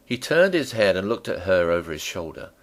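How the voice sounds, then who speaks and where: A man narrating a classic novel as a dramatic reading, with theatrical, deliberate phrasing. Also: sits low, big pitch swings. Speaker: audiobook narrator.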